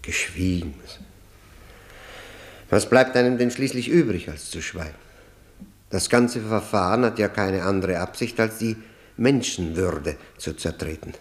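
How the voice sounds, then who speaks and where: An actor playing a weary, defiant middle-aged prisoner in a radio drama, speaking haltingly and bitterly. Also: male voice, sits low. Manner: halting, repeating words, bitter. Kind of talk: 1960 German radio drama acting.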